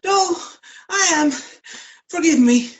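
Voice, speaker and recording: A woman's voice: very high voice, expressive, takes it slowly, recorded from a distance, highs cut off, full character voice. A woman playing a weary, evasive man in a radio play, with an Irish accent and a hesitant, stammering delivery. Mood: sheepish. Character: weary, evasive, grubby man fending off his lover.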